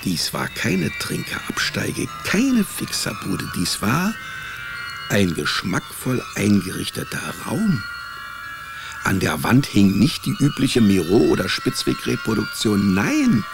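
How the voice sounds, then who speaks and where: A man's voice: mid-range voice, creaky, exaggerated voice. An actor playing a sardonic, wisecracking male private detective, narrating his case in a dry, laconic, ironic way in a radio drama. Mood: musing. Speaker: narrator and lead character. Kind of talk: first-person hard-boiled radio drama narration. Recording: studio radio drama with music behind.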